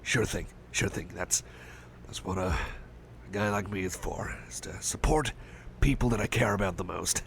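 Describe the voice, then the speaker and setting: A man's voice: sits low, moderate pace, gravelly. A man playing a shy, caring cyborg friend in an ASMR roleplay, speaking hesitantly with stammers and earnest reassurance. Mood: gentle, reassuring. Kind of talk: ASMR personal attention roleplay. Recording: faint background noise and ambience.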